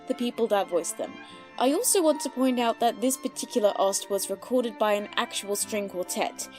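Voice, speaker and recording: A woman's voice: mid-range voice, darkish tone. A woman in her twenties with an Australian accent, giving casual explanatory commentary as the creator of an audio drama.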